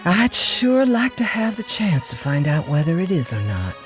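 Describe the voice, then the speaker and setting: An actress playing a restless, coy, money-hungry wife in an audio drama. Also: female voice, on the low side, big pitch swings, normal speed, soft background music. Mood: wistful, wanting.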